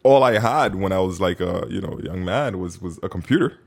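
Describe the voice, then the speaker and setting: A man from Haiti telling his life story as a podcast guest, relaxed and self-mocking, with many fillers. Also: sits low, brisk, vocal fry, breathy edge. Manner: relaxed storytelling, frequent fillers, bursts of laughter. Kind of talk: casual interview.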